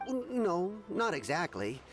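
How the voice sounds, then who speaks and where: Lively-pitched candid townsman. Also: measured pace, over loud music, full character voice. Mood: hesitant, frank. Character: mild, candid townsman, hesitant local gossip.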